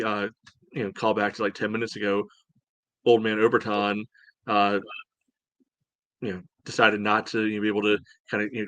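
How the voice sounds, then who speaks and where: A man chatting casually as a sports podcast host, breaking down play in a halting, rambling way full of verbal padding. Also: fairly low pitch, pushed and bright, dry recording. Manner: halting, rambling delivery peppered with "you know". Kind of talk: casual sports analysis chat.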